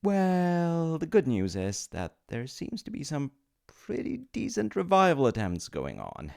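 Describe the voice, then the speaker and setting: A man playing a chummy, rule-bending supernatural agent of reincarnation in a comedic audio roleplay, with a creaky voice and informal, hesitant salesman-like patter. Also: on the high side, wildly swooping pitch, a little slow, full character voice. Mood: wry, reluctant.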